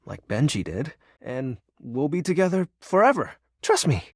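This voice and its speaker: Melodramatic, brooding lord of darkness. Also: male voice, fairly high pitch, big pitch swings, moderate pace, a little mellow, no room sound, studio quality. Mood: pleading, coaxing. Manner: theatrical grandiose villain speech slipping into awkward, wistful sincerity. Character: brooding, lonely, melodramatic male personification of darkness. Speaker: voice actor. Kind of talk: dramatic video game character acting.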